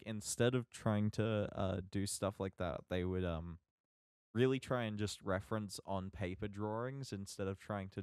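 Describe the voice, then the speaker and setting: A man co-hosting a podcast, chatting casually and haltingly as he thinks aloud with lots of ums. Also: sits low, a little mellow, clean tone, no room sound, clean studio sound. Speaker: podcast co-host.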